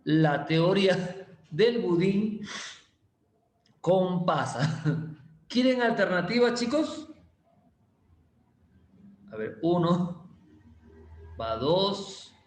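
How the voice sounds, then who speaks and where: A male chemistry teacher, giving a casual, playful class and teasing students as he slowly repeats quiz questions. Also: sits high, expressive. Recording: roomy echo.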